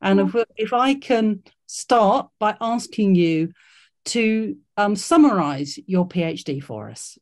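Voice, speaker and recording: A woman's voice: mid-range voice, animated, slow pace, darkish tone. A woman hosting an online careers workshop over a video call, encouraging and full of fillers and restarts. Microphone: video call mic.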